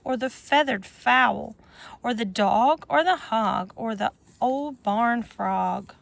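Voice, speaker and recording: Slow, sing-song woman reading a storybook. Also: fairly high pitch, creaky. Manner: expressive sing-song storytelling with chatty asides. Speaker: teacher reading aloud to children. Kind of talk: reading a rhyming picture book aloud. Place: farm with goats, outdoors.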